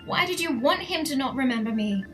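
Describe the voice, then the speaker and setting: Woman acting a very high-pitched distressed adventurer. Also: expressive, brisk, fairly bright tone, faint music behind. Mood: hurt, accusing. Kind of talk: scripted audio drama acting.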